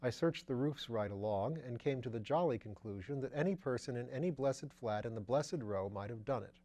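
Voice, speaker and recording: A man as a steady, plain-spoken detective. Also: fairly quick, lightly acted. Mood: matter-of-fact. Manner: plain, matter-of-fact, slightly lowered.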